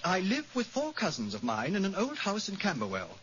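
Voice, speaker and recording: Troubled middle-aged businessman, high, swooping voice, brusque and urgent, vintage radio drama. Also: wildly swooping pitch, brisk, a bit bright, full character voice. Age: 40s. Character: anxious, brusque businessman of forty-five. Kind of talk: 1940s radio acting. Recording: muffled, noisy old radio recording.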